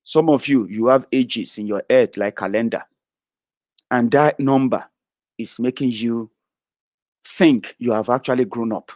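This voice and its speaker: A man in his thirties ranting to his online followers, blunt and profane, swinging between loud outbursts and low mutters. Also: unhurried, pauses often, dry recording, studio quality. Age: 30s. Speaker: online political commentator.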